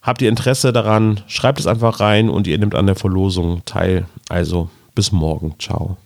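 A man's voice: sits low, steady tone, normal speed, creaky, a little mellow, no echo, clean studio sound. A man co-hosting a podcast, explaining things in a rambling, casual way. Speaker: podcast co-host.

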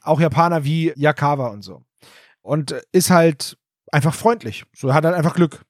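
A man's voice: a little high, moderate pace, no echo, clean studio sound. Chatty man recapping a story. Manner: rambling plot retelling, loose and chatty. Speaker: podcast co-host. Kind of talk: casual episode recap.

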